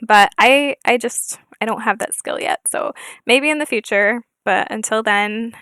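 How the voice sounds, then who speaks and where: A soft-spoken young woman hosting a casual gameplay video, talking hesitantly with many fillers. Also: on the high side, measured pace, clear, resonant tone, no echo, clean studio sound. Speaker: gaming channel host. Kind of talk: casual gameplay chat with read-aloud challenge text.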